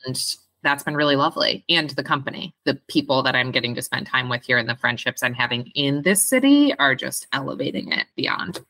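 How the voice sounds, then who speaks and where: A woman's voice: rumbling low, lively intonation, fairly quick, few pauses, sharp tone, no echo. A woman co-hosting a podcast, chatting casually in an informal, earnest way. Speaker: podcast host. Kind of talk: casual chat.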